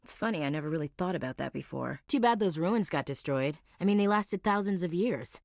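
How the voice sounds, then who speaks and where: A woman's voice: lowish voice, animated, a little fast, creaky, darkish tone, dry recording. An actress playing a blunt, protective young female Marine in a video game, talking in a dry, wry military way. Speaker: soldier. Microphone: close studio mic.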